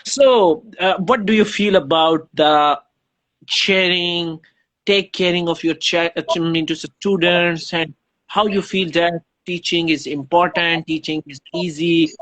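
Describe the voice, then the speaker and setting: High-voiced Pakistani man hosting a livestream. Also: a bit bright, poor audio. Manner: effusive, repetitive, wordy. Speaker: live stream host. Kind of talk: casual livestream interview.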